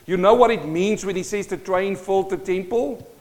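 South african born guy preaching, kinda high voice, lots of questions to the crowd, big hall echo. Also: moderate pace, a little mellow. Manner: emphatic, rhetorical questions to the congregation. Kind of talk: sermon. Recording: long hall reverb.

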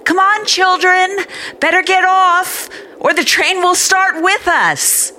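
Fond fussy mom in old radio play, high voice, slow n singsong, bit of noise. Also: female voice, bright, forceful tone, full character voice. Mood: fond, hurried. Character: fond, fussy small-town mother. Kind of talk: old-time radio drama acting. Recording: noisy old radio drama recording.

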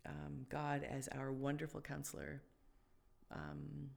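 A woman's voice: low-pitched, flat delivery, slow pace, creaky, darkish tone. A woman co-hosting a faith podcast, talking casually and thoughtfully, with many fillers and restarts. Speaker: podcast co-host.

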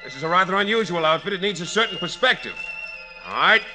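Loving young soldier husband in old radio drama, pitch swoops around, music swelling behind. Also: male voice, expressive, fast, sharp tone, full character voice. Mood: playful, excited. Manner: theatrical, earnest. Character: eager, loving young husband going to war. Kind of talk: 1940s radio drama acting.

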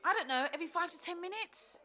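A woman's voice: very high voice, lively intonation, fast talker, sharp tone, heavily acted. A woman playing an anxious, snappy pregnant young woman in labour in a modern audio play, gasping and groaning between phrases. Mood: pained, anxious. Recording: music bed under the dialogue.

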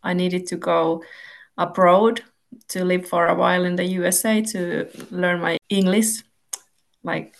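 A woman with a Finnish accent hosting a podcast and interviewing a guest in English, in a clearly paced, scripted-sounding way. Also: fairly low pitch. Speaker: podcast host and Finnish language lecturer. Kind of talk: podcast hosting, partly read intro.

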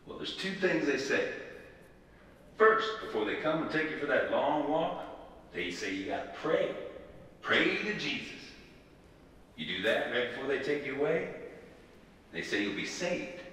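A man's voice: medium pitch, big pitch swings, measured pace, pauses often, bright, forceful tone. A man playing a morbid death-row tormentor in an audio drama, needling with folksy glee. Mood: taunting. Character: morbid, taunting male on death-row watch. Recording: very echoey hall, distant, faint noise.

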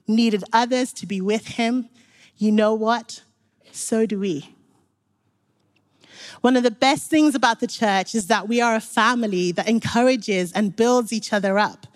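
A young woman from Zimbabwe giving an earnest, encouraging sermon, speaking directly to the congregation. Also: on the high side. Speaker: preacher.

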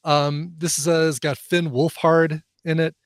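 A man's voice: a little high, level tone, unhurried, no echo, studio quality. A man co-hosting a chatty show and recommending a film, rambling, with lots of fillers and laughs. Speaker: podcast co-host and film recommender. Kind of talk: casual chat.

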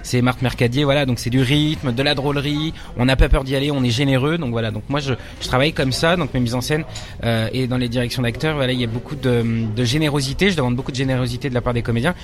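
French guy, young actor-director, lots of euh and restarts, mid-pitched voice. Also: fairly even intonation, quick pace. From France. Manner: hesitant, many fillers and restarts. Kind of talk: interview answers.

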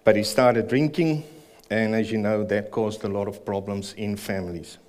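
A man with a South African accent speaks at a church service, his voice sitting on the low side. He is earnest and a touch nervous, pausing with fillers as he shares his testimony and prays. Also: fairly even intonation, darkish tone. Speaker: church member giving testimony. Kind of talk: personal testimony and prayer in church.

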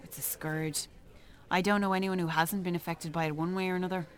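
An actress as a low-pitched, chatty Irish wife. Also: female voice, fairly even intonation, fairly quick, close to an everyday voice. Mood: polite, sympathetic. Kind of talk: naturalistic radio drama acting.

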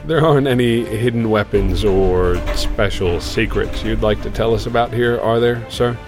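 A man's voice: lowish voice, normal speed, over music, close to an everyday voice. A man playing a deferential military pilot in an audio drama, hesitant and a little awkward. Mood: awkward, wry.

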